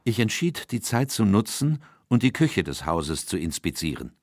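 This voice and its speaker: A man playing a composed Victorian gentleman doctor who narrates a detective case in a scripted radio crime drama. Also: lowish voice, big pitch swings, normal speed, no echo, studio quality. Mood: composed, reflective. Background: music.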